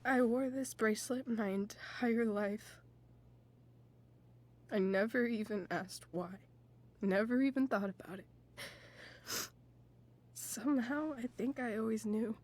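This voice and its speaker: Slow, soft-spoken wounded teenage girl. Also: a little high. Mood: pensive, vulnerable. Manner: slow, halting, pensive delivery. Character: disillusioned, wounded teenage girl confiding in her friend. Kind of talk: naturalistic video game voice acting.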